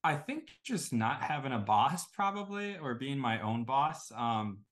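A man's voice: mid-range voice, animated, unhurried, fairly bright tone. Guy in his 20s from estonia chatting casually in an interview, says you know a lot. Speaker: guest, e-commerce entrepreneur.

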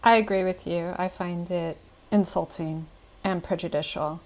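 A woman's voice: on the low side, steady tone, moderate pace. An American woman answering interview questions about travel in an earnest, reflective way. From United States. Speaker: podcast guest.

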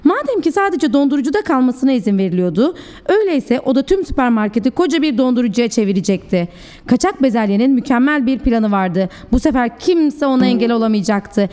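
A woman with a high voice narrates a children's storybook. She sounds like a preschool teacher, expressive and theatrical, addressing young listeners. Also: quick pace.

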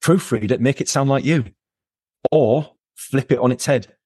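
A man's voice: medium pitch, unhurried, a little mellow, slightly rough, dry recording, clean studio sound. A man speaking as a podcast guest, emphatic and repeating words for stress in a conversational interview. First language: English.

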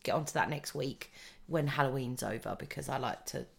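A woman's voice: extremely low pitch, brisk, gravelly. A woman hosting a podcast in a casual, chatty way. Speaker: podcast host.

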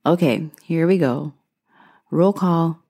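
A woman's voice: low voice, slow, warm tone. A woman from Guyana hosting her own solo podcast, chatting in an upbeat way and thanking her listeners. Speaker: podcast host.

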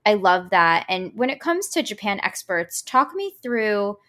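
A woman's voice: medium pitch, sing-song, measured pace, fairly bright tone. A woman hosting a travel podcast who talks in an upbeat, gushing way and praises her guest often. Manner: upbeat, gushing, many "I love that". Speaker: podcast host. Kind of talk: enthusiastic podcast interview.

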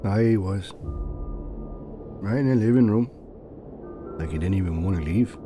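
A man's voice: on the low side, measured pace, music in the background, close to an everyday voice. An actor playing a somber, plain-spoken, folksy man in an audio drama. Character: somber, folksy man soberly explaining a tragedy.

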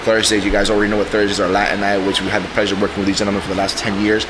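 A man's voice: on the low side, flat delivery, rapid-fire, background noise. A man from Cuba speaking casually as a podcast guest, emphatic and often repeating his words for stress. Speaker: guest, bar general manager.

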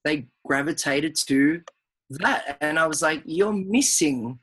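Thoughtful man guest, halting speech. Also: a little high, fairly bright tone, breathy edge. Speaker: guest, performer. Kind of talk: casual interview chat.

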